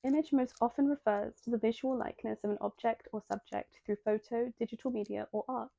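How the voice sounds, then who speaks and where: A young woman hosts a video essay in a low-lit, mellow voice with a gravelly edge. She sounds a little nasal and reads her script steadily, then slips into self-deprecating asides. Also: steady tone, fairly quick, no echo, low-quality recording. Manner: self-deprecating, breaks into apologetic tangents.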